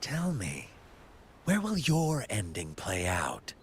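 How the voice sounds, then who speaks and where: A gleefully cruel, unhinged game villain. His delivery is slow and mocking, savoring each phrase, and his pitch leaps about theatrically. He sounds like a showman who delights in menace. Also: huge pitch swings, a little hiss or hum, heavily acted. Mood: menacing. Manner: mocking, flamboyant, drawn-out phrasing. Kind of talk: video game cutscene acting.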